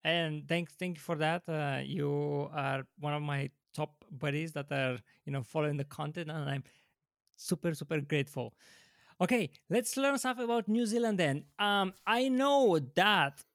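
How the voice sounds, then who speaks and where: A man hosting a YouTube interview, talking in a halting, eager way with many restarts. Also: fairly high pitch, vocal fry, fairly bright tone, dry recording, clean studio sound. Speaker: YouTube channel host. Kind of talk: casual interview.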